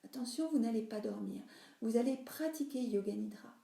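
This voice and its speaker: Woman, yoga nidra teacher, guided meditation, creaky mellow voice, soothing instructions, soft breathy endings. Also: on the high side, fairly quick. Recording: roomy, reverberant voice recording.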